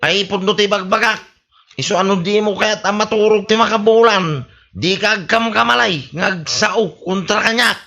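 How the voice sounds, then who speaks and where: An actor with an Ilocano accent playing a boastful, wheedling drunk in a radio comedy, slurring and rambling. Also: male voice, high-pitched, moderate pace, vocal fry, pushed and bright, heavily acted. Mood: boastful, belligerent. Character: boastful, wheedling drunken village man buying on credit.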